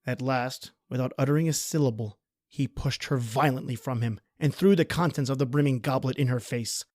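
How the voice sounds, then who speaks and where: A man's voice: medium pitch, fairly quick, darkish tone, dry recording, studio quality. A man narrating a scripted horror story in a dramatic radio-play style.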